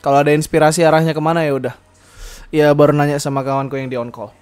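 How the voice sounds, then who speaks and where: A young man talks freely on his podcast, rambling and circling back with fillers and restarts. His voice sits a little higher than most men's. He laughs easily between thoughts. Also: flat delivery, very fast, a little mellow, clear, resonant tone. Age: young adult. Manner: rambling, many fillers and self-corrections, laughs often. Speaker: podcast host. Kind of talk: casual solo podcast chat.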